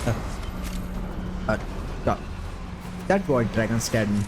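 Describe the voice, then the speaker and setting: A man's voice: fairly low pitch, animated, slow, background noise. A man, not a native English speaker, chatting casually while streaming a game, rambling with lots of fillers and little laughs. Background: game music.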